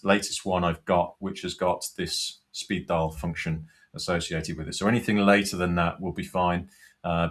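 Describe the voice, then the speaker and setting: A man giving an informal, unscripted product tutorial, explaining things step by step. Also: sits low. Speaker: product demonstrator.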